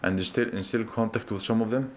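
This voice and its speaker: A man's voice: on the low side, fairly quick, slight background noise. A man in his twenties, a native Arabic speaker, telling his story earnestly as an interview guest, often restarting and saying "exactly". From Iraq. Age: 20s. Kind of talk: casual conversational interview. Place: reception area of a pool.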